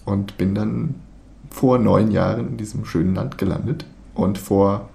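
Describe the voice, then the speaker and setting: Low, gravelly, mellow young man. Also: steady tone, a little slow. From Thuringia, Germany. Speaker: podcast co-host. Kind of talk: casual chat between two co-hosts.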